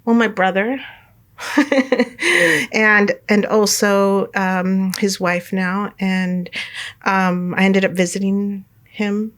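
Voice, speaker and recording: A middle-aged woman from California, a guest telling her life story in a relaxed interview, rambling with many fillers and restarts and laughing often. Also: medium pitch, slow pace. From United States, California.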